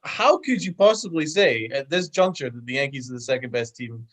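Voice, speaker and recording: A man's voice: medium pitch, a little fast, fairly bright tone. A man co-hosting a casual sports podcast over a video call, giving blunt, emphatic opinions. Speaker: podcast co-host.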